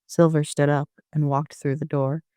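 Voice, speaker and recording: A man's voice: a little high, flat delivery, moderate pace, mellow, clean tone, no room sound, clean studio sound. A man reading scripted first-person story narration as an amateur author.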